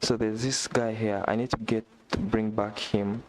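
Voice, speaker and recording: A man from Uganda, not a native English speaker, chatting excitedly on a live stream, repeating himself and talking straight to a viewer. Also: on the low side, moderate pace, slight background noise. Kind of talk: casual live-stream chat. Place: indoor room.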